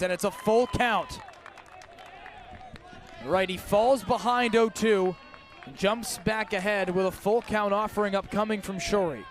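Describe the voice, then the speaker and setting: A high-voiced man works as a baseball play-by-play announcer. He delivers live radio commentary, following the game pitch by pitch.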